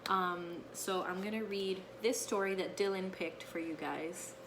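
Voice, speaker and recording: A woman teacher talking casually to her young pupils and reading a picture book aloud, often checking in with a quick okay or right. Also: mid-range voice, background noise. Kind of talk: casual read-aloud with explanations to children.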